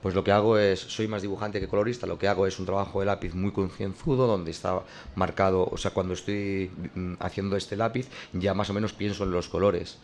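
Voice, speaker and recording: A comic artist talks through how he works, his voice very low and gravelly. He stops and restarts often. A faint hiss sits behind him. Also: fairly even intonation, fairly quick, hardly any pauses. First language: not French. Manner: hesitant, many restarts and fillers. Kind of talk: explaining his drawing process.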